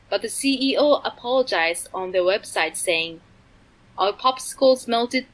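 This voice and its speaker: A woman's voice: mid-range voice, fairly bright tone, low-quality recording. A woman co-hosting a comedy news show, delivering absurd jokes in a matter-of-fact way. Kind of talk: scripted comedy news reading.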